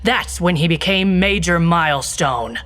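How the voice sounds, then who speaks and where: A woman's voice: fairly low pitch, expressive, unhurried, fairly bright tone, soft background music. A woman playing a determined, cool-headed secret agent in a spy video game, confident and matter-of-fact. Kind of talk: tongue-in-cheek spy game acting.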